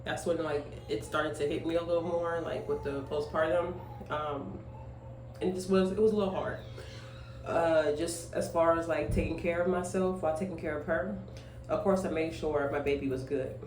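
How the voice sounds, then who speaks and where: A woman's voice: low voice, steady tone, moderate pace, a little mellow. A twenty-five-year-old woman, a single mother, chatting casually at home while grooming, rambling with lots of fillers and emphatic asides. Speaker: vlogger, young single mother. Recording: echoey room, voice off-mic, faint noise.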